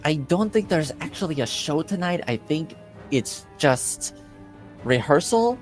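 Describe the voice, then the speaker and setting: Slow, halting male game master. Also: a little high, lively intonation, soft background music. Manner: hesitant, halting narration. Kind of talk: narrating a tabletop roleplaying game.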